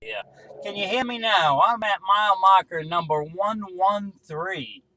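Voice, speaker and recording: A man's voice: sits high, a little slow, sharp tone, slight background noise, rough recording. A man making casual radio calls, repeating call signs and numbers as a hobbyist would. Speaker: CB radio hobbyist running a range test.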